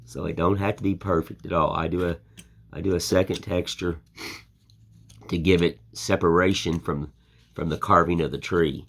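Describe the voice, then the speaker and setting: A man's voice: sits low, fairly even intonation, moderate pace, vocal fry, fairly bright tone. An older American man with a folksy, plainspoken way of talking, rambling in a casual chat while he shows his woodcarving. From United States. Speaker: woodcarving channel host.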